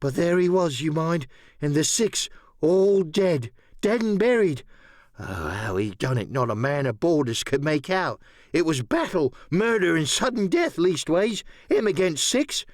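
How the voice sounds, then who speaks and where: Guy doing a half crazy old castaway sailor, higher voice, rambly, all over the place. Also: very animated, a little slow, no echo, studio quality. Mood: confiding. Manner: rambling, eager sailor's slang. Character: marooned old male sailor, excitable, half-crazed. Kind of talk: audiobook character voice.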